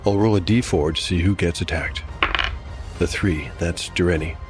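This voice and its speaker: Man, very low pitch, tabletop game narrator, dramatic storytelling, wry dice commentary, clear music bed behind. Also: moderate pace. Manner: wry asides and mild exclamations between narration. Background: background music.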